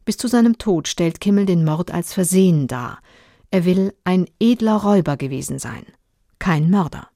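A woman's voice: on the low side, expressive, normal speed, dark tone, dry recording, clean studio sound. A woman reading a scripted case summary in a sober, factual documentary style.

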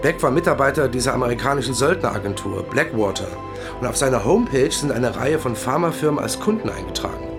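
An actor playing a practical police detective in a crime radio drama, matter-of-fact in tone. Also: male voice, medium pitch, animated, quick pace, music in the background, natural voice. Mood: factual. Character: male police detective, practical colleague and narrator.

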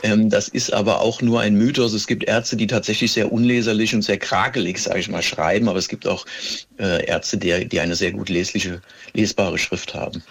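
Man, low-pitched voice, husky and rough, measured expert interview delivery, matter-of-fact. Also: steady tone. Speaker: police handwriting expert, interview guest. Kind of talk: explanatory interview answers.

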